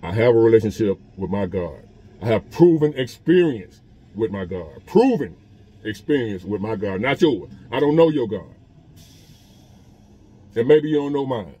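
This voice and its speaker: A man's voice: fairly low pitch, animated, moderate pace, pauses often, warm tone, husky, highs cut off. A man, talking earnestly and repetitively to his phone camera from a parked truck, like a casual motivational talk. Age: 50s. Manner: earnest, repetitive, conversational preaching. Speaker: vlogger.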